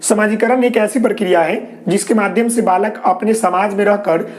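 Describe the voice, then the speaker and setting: Man, Bihari Hindi accent, sore throat, high-pitched, live online class teacher, repetitive fillers, reassuring. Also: steady tone, normal speed, a little mellow. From Bihar, India. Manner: repetitive fillers, frequent "ji" and "okay"; reassuring, tells viewers not to worry.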